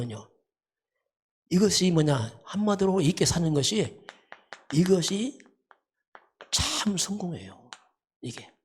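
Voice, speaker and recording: A pastor giving a sermon in an emphatic, repetitive teaching manner, often posing rhetorical questions, with a husky voice. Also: mid-range voice, darkish tone. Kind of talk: dawn service sermon.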